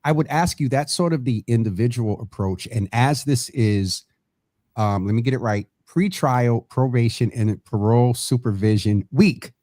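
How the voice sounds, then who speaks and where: A man's voice: medium pitch, normal speed, a little mellow, no echo. A male show host asking long, winding interview questions with many fillers. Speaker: podcast host. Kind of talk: interview hosting. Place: studio room.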